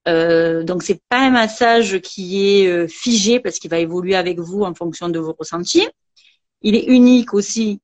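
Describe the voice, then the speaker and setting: A woman's voice: on the low side, moderate pace, darkish tone, dry recording. A woman with a southern French accent, a massage trainer talking casually in an interview, with many fillers and restarts. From southern France.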